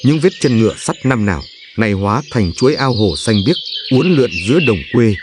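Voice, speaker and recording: A man's voice: mid-range voice, lively intonation, fairly quick, noisy background. A man narrating a legend in a solemn, reverent, scripted storytelling style. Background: music.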